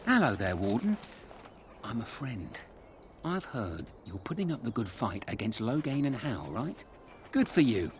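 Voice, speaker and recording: Sly, lilting street crook. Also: male voice, medium pitch, sing-song, unhurried, slight background noise. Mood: sly, chummy. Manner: ingratiating, cheeky, colloquial. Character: sly, chummy male street thief fixer. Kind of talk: video game dialogue acting.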